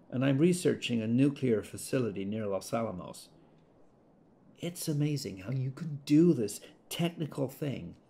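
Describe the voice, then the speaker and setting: An actor playing an earnest, exasperated government geologist who explains things conversationally in an audio drama monologue. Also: male voice, mid-range voice, vocal fry, darkish tone. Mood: musing.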